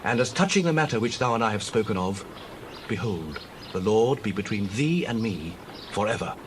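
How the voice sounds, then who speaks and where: Man acting an earnest, expressive loyal prince. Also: on the low side, moderate pace, slight background noise, lightly acted. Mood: earnest, measured. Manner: solemn, emphatic, archaic oratory. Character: loyal, earnest prince, devoted sworn friend. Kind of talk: dramatized Bible audio acting.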